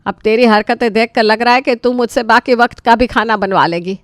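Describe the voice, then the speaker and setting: A woman's voice: on the high side, brisk, dry recording, studio quality, close to an everyday voice. A woman voicing an exasperated, put-upon middle-aged mother-in-law in a story, complaining dramatically. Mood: aggrieved.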